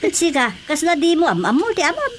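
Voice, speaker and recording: A woman's voice: extremely high pitch, very animated, fast, slight background noise. A woman playing a gossipy older village confidante in a Filipino radio drama comedy, teasing and exclaiming.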